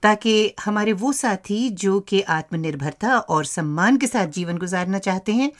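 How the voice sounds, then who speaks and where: Mid-pitched female radio co-host. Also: lively intonation, a little slow. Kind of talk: scripted radio introduction.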